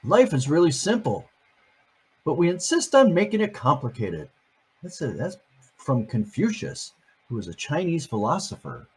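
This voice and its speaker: A man's voice: on the high side, big pitch swings, moderate pace, breathy edge. An older man, a grandfather, reading a picture book aloud on video to his grandkids, playful and chatty, asking them questions as he goes.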